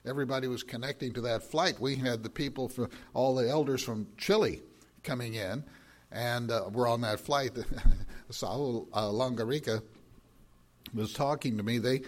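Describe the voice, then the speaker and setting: An older man, a pastor, with a slightly raspy voice, talking to his congregation in a rambling way, with many pauses and filler words. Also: mid-range voice. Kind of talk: sermon announcements.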